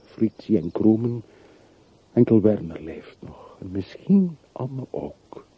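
A man's voice: lowish voice, very animated, slow pace, breathy edge, no echo, full character voice. An actor playing a bitter, world-weary middle-aged man in a radio play, speaking tersely and broodingly on an old recording. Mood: subdued, brooding. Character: bitter, world-weary man revisiting his hometown's dead. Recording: muffled, phone-like, noisy old radio recording.

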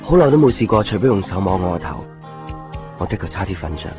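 Earnest, insecure boyfriend, Hong Kong accent, radio drama, lowish register, muffled sound. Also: male voice, sing-song, fast talker. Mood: anxious, reflective. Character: earnest, uneasy boyfriend clinging to fragile love. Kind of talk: radio drama dialogue and narration. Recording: dull 1990s radio recording, music behind. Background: clear background music.

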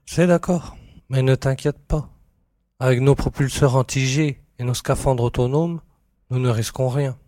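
An actor playing a jovial, self-assured space adventurer and hunter in an audio sci-fi drama, with a breezy manner. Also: male voice, mid-range voice, fairly even intonation, unhurried, mellow, dry recording, studio quality, natural voice.

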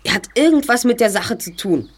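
A woman's voice: fairly high pitch, lively intonation, brisk, husky. A woman playing a confident boy detective in a German audio drama, articulate and formal in delivery. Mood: deducing. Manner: articulate, formal, self-assured. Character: confident, well-spoken boy detective, leader of a trio.

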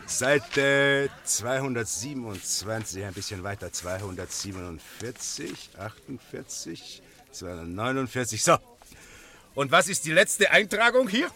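An actor playing a gruff, gloating goose dealer in a 1950s radio drama, mocking and quick to anger. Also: male voice, mid-range voice, lively intonation, sharp tone. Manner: blustering, mocking, quick-tempered. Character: gruff, gloating market goose dealer, middle-aged man.

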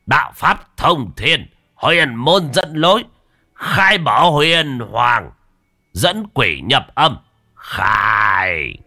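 A man voicing a gruff old male exorcist who talks in a coarse, mocking, commanding way. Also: a little high, big pitch swings, unhurried, sharp tone, no room sound, pitched above the performer's own voice, exaggerated voice. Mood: slow chanting.

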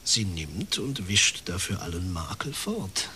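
Low-voiced, suave older clergyman. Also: big pitch swings, sharp tone, slight background noise, full character voice. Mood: unctuous, cynical. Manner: measured, formal, unctuous delivery. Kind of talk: radio crime drama acting.

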